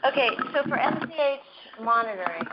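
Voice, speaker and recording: A soft-spoken woman epidemiologist presenting slides in a measured, explanatory way, with frequent ums and restarts. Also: high voice, lively intonation. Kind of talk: slide presentation over a phone line. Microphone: phone on the table. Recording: muffled phone line, faint noise.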